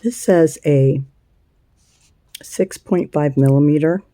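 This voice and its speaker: A woman with a scratchy voice hosting a casual crochet tutorial, chatty and unhurried, with plenty of ums and uhs. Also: sits low, mellow. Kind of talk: casual hands-on craft tutorial.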